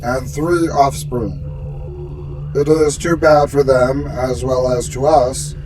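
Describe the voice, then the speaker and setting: A man playing a gentle, earnest alien envoy in a sci-fi radio drama, speaking in stilted, formal phrasing. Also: mid-range voice, steady tone, slow pace, music in the background, lightly acted, poor audio. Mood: solemn, candid. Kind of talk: golden age sci-fi radio drama acting.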